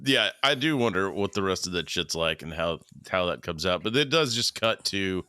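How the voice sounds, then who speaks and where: A man's voice: low voice, expressive, normal speed, pushed and bright, smooth, no room sound. A man in his thirties co-hosting a podcast, chatting casually, swearing freely and joking. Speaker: podcast co-host. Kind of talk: casual chat.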